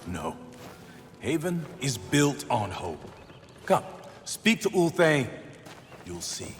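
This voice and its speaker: An actor playing a wise, hopeful human refugee in a video game, wry, philosophical and encouraging. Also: male voice, medium pitch, sing-song, takes it slowly, long reverb tail, background noise. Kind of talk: video game cutscene acting.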